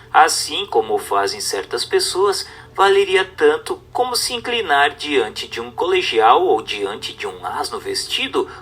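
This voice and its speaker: A man narrates a formal religious text over background music. His voice is bright, with widely moving intonation. The delivery is solemn and instructive, clearly read from a script. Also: medium pitch.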